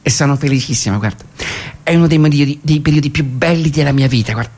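A woman's voice: low voice, darkish tone, slightly rough. Giddy, stammering woman in love, played by an actress. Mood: elated, gushing. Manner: halting, stammering, gushing. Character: giddy, bashful woman in love, phoning from work. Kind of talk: radio theatre comic dialogue.

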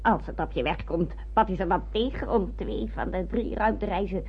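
A woman playing a small, plucky spaceship crew member in radio drama dialogue, with a husky voice. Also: medium pitch, moderate pace. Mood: chatty, defensive. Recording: muffled old radio play recording.

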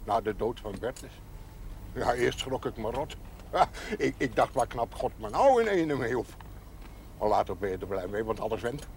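An actor playing a kindly, slightly odd village garbage collector who chuckles often and talks in a folksy, mysterious way, from a 1970s television drama. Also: male voice, mid-range voice, lively intonation, moderate pace, a little mellow, lightly acted. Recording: noisy 1970s TV audio.

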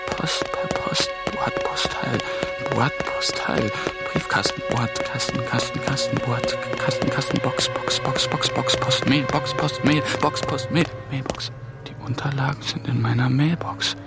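A man's voice: medium pitch, huge pitch swings, measured pace, vocal fry, over loud music, full character voice. A young actor playing a hunted male intern in a radio play, muttering anxious thoughts to himself. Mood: frantic. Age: young adult.

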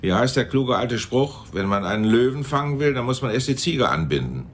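An actor in a German radio drama playing a shrewd, commanding intelligence chief who speaks in a measured, dryly ironic way. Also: male voice, medium pitch, lively intonation, a little hiss or hum, close to an everyday voice.

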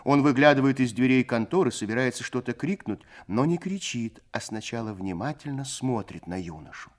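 An actor narrating a Soviet radio play in a lyrical, literary way, with measured, expressive phrasing. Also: male voice, medium pitch, natural voice. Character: male storyteller, lyrical and unhurried.

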